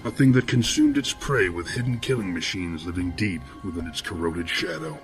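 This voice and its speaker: Deep-voiced male horror narrator. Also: soft background music. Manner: deep, measured, ornate storytelling. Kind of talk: horror audio drama narration.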